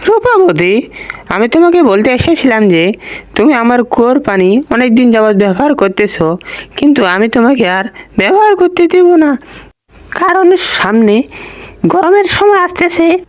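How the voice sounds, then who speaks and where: A man's voice: very high-pitched, lively intonation, measured pace, long pauses, no room sound. Guy doing a stingy village uncle in a cartoon, firm n insistent, dry sound. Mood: stern. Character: grumbling village uncle, stingy and unyielding well owner. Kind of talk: cartoon voice acting.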